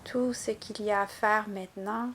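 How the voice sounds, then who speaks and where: A woman guiding a yoga nidra relaxation, giving calm, gentle, unhurried instructions. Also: medium pitch, a little hiss or hum. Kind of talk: guided relaxation meditation narration.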